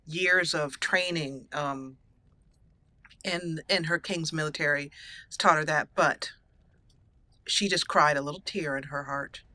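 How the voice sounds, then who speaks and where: A woman's voice: low-pitched, unhurried, long pauses, sharp tone, dry recording. A woman hosting a let's play, giving casual storytelling commentary on her roleplayed game character.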